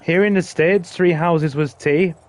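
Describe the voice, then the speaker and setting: A man's voice: a little high, a little slow. A man hosting a casual gaming stream, chatting with a friend in a rambling way with fillers. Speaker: streamer host.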